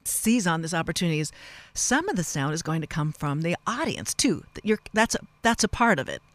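A man's voice: a little high, sing-song, brisk, fairly bright tone, no echo. A man interviewing conversationally as a radio host, with roundabout, searching phrasing.

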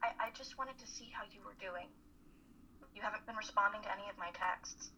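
A woman's voice: mid-range voice, a little fast, pushed and bright, a little hiss or hum. A young woman playing a caring, worried and insistent college student in a dramatic play reading. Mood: gentle concern. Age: young adult. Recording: muffled, telephone-like remote call audio.

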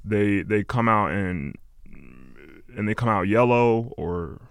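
A man in his late twenties chatting casually as an interview guest, halting and meandering as he reflects. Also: lowish voice, fairly bright tone, clean tone, dry recording, clean studio sound. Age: late 20s. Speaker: interview guest, music artist. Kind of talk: casual chat.

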